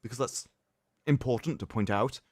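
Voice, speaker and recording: A man hosting a livestream, reading aloud in a mocking, theatrical way with sarcastic asides and drawn-out emphasis. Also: mid-range voice, a little mellow, no room sound, studio quality. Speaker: livestream host. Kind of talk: mocking dramatic reading of an article with commentary.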